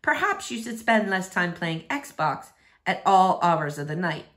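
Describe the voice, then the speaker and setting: A woman's voice: fairly low pitch, big pitch swings, a little slow, fairly bright tone. A woman voicing a nagging, teasing, no-nonsense mother in a story.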